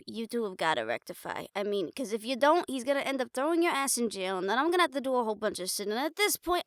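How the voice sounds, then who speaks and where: A woman's voice: on the high side, fairly bright tone, no echo, clean studio sound. A woman playing a wry, affectionate, bossy girlfriend in an improvised, intimate audio roleplay, talking casually with slangy teasing and swearing. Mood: exasperated pleading. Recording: music behind the voice.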